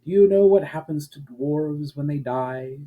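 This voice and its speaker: A man voicing a composed, knowing elf woman in a storybook reading, measured and grave. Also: fairly high pitch, phone-quality sound. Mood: grave, explaining. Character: composed elf woman, knowing, testing, faintly smug host.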